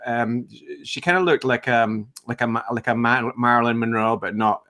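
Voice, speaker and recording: A Scottish man in his fifties chatting as an interview guest, halting and reflective, with a self-deprecating manner. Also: medium pitch, steady tone, bright, forceful tone. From west coast of Scotland. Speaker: guest, entrepreneur and coach. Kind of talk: casual interview chat.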